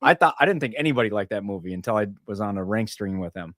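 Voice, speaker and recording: A man hosting a casual podcast chat, rambling in a relaxed way and leaning on "you know" a lot. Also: on the low side, quick pace, no echo, clean studio sound. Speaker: host.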